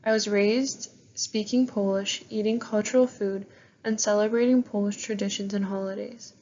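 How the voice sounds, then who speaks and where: A woman's voice: medium pitch, fairly even intonation, normal speed, a little mellow, dull audio. A young Canadian woman reading out her prepared class presentation into a modest recorder that dulls the sound, like a slideshow handed in for a course. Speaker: student presenter. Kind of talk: scripted presentation, read aloud.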